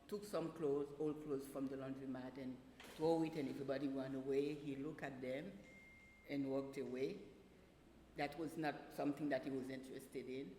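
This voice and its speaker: A woman telling casual anecdotes in an animated way, laughing often and acting out what people said. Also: sits low. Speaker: guest, teacher of small children. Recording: roomy echoing space with faint noise.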